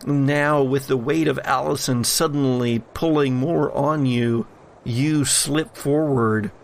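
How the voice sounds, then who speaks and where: A man with a creaky, gravelly voice narrates a tabletop horror game as game master. He takes his time, setting out the scene in short, deliberate phrases. Some noise sits behind him. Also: medium pitch, fairly even intonation.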